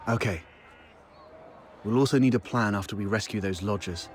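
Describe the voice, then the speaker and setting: A man voicing a calm, shrewd, cautious ally in a video game, speaking in a measured, reflective way. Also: fairly low pitch, lightly acted. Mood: calm, earnest.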